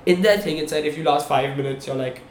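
Young man telling a lively story. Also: fairly high pitch, fast talker. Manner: animated storyteller, checks in with "okay? right?". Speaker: podcast co-host. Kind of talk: casual storytelling chat. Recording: echoey room, faint background hum.

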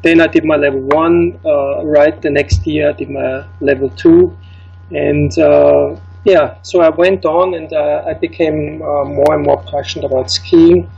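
A man's voice: a little high, fairly even intonation, unhurried, creaky, a little mellow, husky, highs cut off. A middle-aged man with an Austrian accent, German as his first language, telling his life story as an interview guest, with many fillers and restarts. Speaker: ski instructor.

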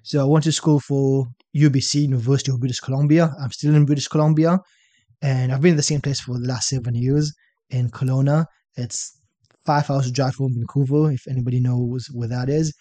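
Israeli-accented man, dark mellow voice. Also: medium pitch, fairly quick, no room sound. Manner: relaxed storytelling, tags statements with "right?". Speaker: podcast guest, fitness coach. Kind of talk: casual interview chat.

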